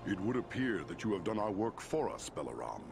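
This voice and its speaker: An actor playing a regal, wise and stern giant eagle lord in a fantasy game, stately and authoritative in delivery. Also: male voice, on the low side, big pitch swings, fairly quick, gravelly. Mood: grateful, measured. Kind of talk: scripted fantasy game dialogue.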